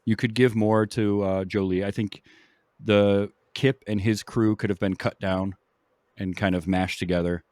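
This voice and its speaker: A man hosting a casual film discussion in a conversational, measured, agreeable way. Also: lowish voice, steady tone, darkish tone, dry recording, studio quality. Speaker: film podcast host.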